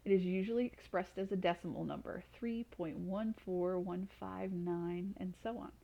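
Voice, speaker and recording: A woman's voice: fairly low pitch, normal speed, gravelly, muffled recording. A woman storyteller reading expressively to children. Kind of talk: expressive storybook read-aloud.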